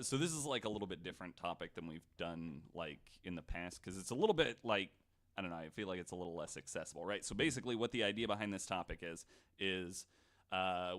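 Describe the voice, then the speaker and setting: A man's voice: low voice, a bit bright. A man telling a true story on a podcast in a casual, informal way, like a host talking among friends. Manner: informal, hedging, frequent "like".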